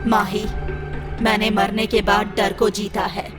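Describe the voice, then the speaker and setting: A woman's voice: medium pitch, little pitch movement, measured pace, music in the background, natural voice. A woman playing the vengeful ghost of a young woman in a horror story, speaking in a solemn, menacing, dramatic way. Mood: solemn, urging. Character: vengeful young female ghost protecting innocent girls.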